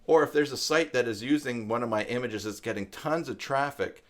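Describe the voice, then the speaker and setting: A man's voice: mid-range voice, brisk. A man hosting a casual live web tutorial, thinking aloud and rambling with hesitant restarts as he answers viewers. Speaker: live stream host giving web tutorials.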